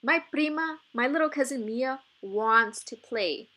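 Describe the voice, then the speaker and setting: A woman's voice: sits high, measured pace, bright, forceful tone, voice pushed up, exaggerated voice. A woman voicing an outspoken, spirited seventh-grade girl in a children's storybook. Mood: exasperated. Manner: emphatic, outspoken.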